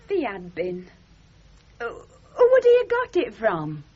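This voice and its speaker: An actress playing an aggrieved, chatty middle-aged working-class wife with a Northern English accent in a radio comedy drama. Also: female voice, on the high side, wildly swooping pitch, measured pace, a crowd in the background. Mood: protective. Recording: muffled 1970s radio drama recording.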